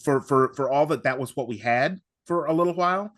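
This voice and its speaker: A man in casual podcast chat, enthusiastic as a fan, halting and rambling as he circles back to rephrase. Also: medium pitch, a bit bright, no echo, studio quality. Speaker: podcast guest.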